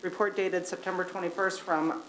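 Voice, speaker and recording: A woman's voice: fairly low pitch, flat delivery, a bit bright, slight background noise, poor audio. Woman chairing a formal meeting. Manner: brisk, reads formal wording. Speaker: commission chair. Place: city meeting chamber.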